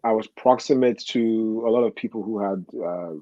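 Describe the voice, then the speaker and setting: Mellow man, slightly muffled audio. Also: lowish voice, normal speed, no room sound. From Nigeria. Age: 40s. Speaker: podcast guest. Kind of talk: casual personal storytelling.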